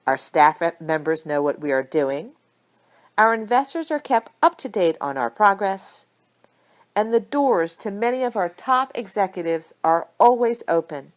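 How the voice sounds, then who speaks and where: A confident female corporate lawyer from an audiobook story, voiced by a woman reader. She is devoted and tender beneath the poise, and speaks in a measured, earnest way. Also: mid-range voice, lively intonation, pitched above the performer's own voice, close to an everyday voice. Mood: earnest, assured.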